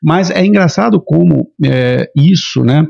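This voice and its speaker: Male podcast host, middle-aged, creaky mid-pitched voice, chatty interviewing, slightly dull audio. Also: expressive, measured pace, darkish tone. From Minas Gerais, Brazil. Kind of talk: casual interview chat.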